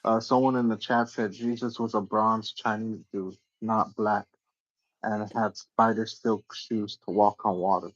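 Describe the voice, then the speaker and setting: A slightly muffled, close and dry recording of a man interviewing someone casually. His voice sits on the low side. He talks in a laid-back, slangy way and readily agrees as he moves between questions. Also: flat delivery, measured pace, no room sound. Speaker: livestream interviewer and host.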